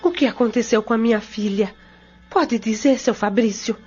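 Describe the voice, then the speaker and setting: A woman's voice: fairly high pitch, moderate pace, breathy edge. An actress playing a worried, humbly grateful middle-aged mother in an audio drama, polite and deferential, with a Brazilian accent. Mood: anxious, polite. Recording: muffled recording under loud music.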